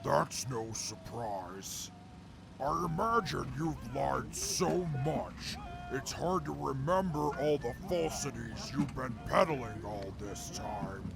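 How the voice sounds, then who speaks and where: A man acting in an audio drama as a pompous, smug, mocking sidekick with gravelly, formal, wordy speech. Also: medium pitch, lively intonation, music in the background, rough recording. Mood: smug, gloating. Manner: pompous, formal, drawn-out, verbose.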